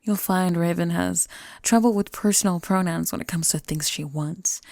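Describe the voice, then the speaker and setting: A woman's voice: lowish voice, moderate pace, vocal fry, a little mellow, no room sound, clean studio sound. A woman playing an elegant, possessive pirate captain in an ASMR roleplay, teasing and casually ruthless, sometimes dropping into whispers. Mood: smug, velvety.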